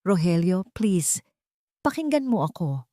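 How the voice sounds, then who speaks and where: A woman's voice: on the low side, very animated, a little slow, warm tone, no room sound. A humble young housemaid in an audio melodrama, voiced by a woman storyteller. She is wronged and pleads with earnest simplicity. The sound is dry and close. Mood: desperate.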